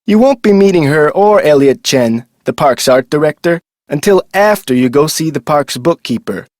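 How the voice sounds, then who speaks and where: Video game park guard, adult male actor: eager, folksy talker, slightly high-pitched, very lively inflection. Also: moderate pace, no echo, clean studio sound, lightly acted. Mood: chatty, informative. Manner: folksy, colloquial, animated delivery. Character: chatty, eager amusement park security guard, adult man. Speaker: security guard character. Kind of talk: scripted video game character dialogue.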